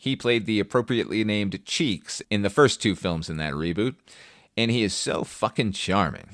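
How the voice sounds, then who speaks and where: A man's voice: lowish voice, normal speed, fairly bright tone, no room sound, clean studio sound. A man hosting a film review podcast, delivering a scripted but conversational monologue in an opinionated, wry, casually profane way.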